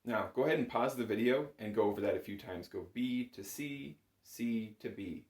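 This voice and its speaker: A man's voice: mid-range voice, moderate pace. A man giving a casual step-by-step music lesson as an instructor, informal, patient and encouraging. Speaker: bagpipe chanter instructor. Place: small indoor music room at home. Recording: roomy, echoing home room sound.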